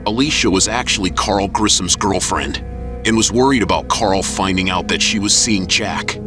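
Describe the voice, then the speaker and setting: A man narrating an audio drama in a steady, dramatic style. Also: low voice, moderate pace, over music.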